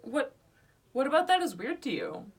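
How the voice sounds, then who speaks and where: A woman's voice: high voice, very animated. Young female podcast co-host, playful, slightly distant sound. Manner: playful, teasing, quick to laugh. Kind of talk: casual podcast review chat.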